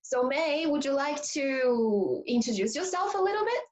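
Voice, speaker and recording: A woman's voice: sits high, big pitch swings, darkish tone. Earnest Chinese-born woman hosting a chat. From China. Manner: earnest, measured, with fillers. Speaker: host. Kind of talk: casual video chat.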